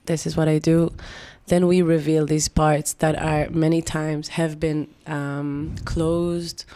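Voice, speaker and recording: A woman's voice: sits low, little pitch movement, slow pace, warm tone, dry recording. A woman, a singer-songwriter guest on a podcast, speaking expressively and searching for words. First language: Hebrew. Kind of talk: reflective podcast conversation.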